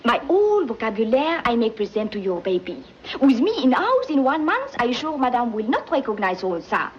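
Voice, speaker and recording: Coquettish young French maid, French accent, lively singsong voice, broken English, muffled vintage film audio. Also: female voice, on the high side, fairly quick, slight background noise. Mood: eager. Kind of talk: 1940s film acting. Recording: dull old film soundtrack.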